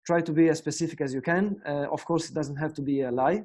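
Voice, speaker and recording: A young man with an Italian accent talks through a guitar lesson in a relaxed, unscripted way. His voice sits a little high but sounds mellow and warm, and he often pauses on fillers and restarts his sentences. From Italy. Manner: hesitant, many fillers and restarts. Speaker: guitar teacher. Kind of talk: casual spoken lesson.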